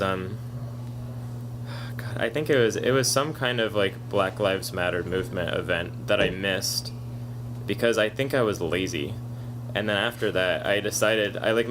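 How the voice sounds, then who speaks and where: A man co-hosting a podcast, talking at length in a monotone, argumentative way in casual chat. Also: low-pitched, noisy background. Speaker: podcast co-host, activist. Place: kava bar.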